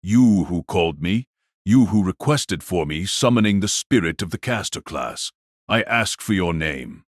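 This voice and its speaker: An eerie robed sorcerer spirit with a low, deep voice. He intones each phrase solemnly and ceremoniously, with big swings in pitch, in fantasy audio drama style. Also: lively intonation, measured pace, no room sound, clean studio sound. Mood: solemn, commanding. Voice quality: soft yet carrying. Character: tall, eerie summoned magician spirit in robes.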